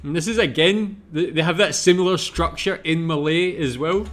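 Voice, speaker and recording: A man's voice: fairly high pitch, moderate pace, fairly bright tone, soft background music. A Scottish man with a Glasgow accent, thinking aloud as he reacts to a video and asks his viewers questions. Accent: Glaswegian Scottish. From Scotland. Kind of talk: casual reaction commentary.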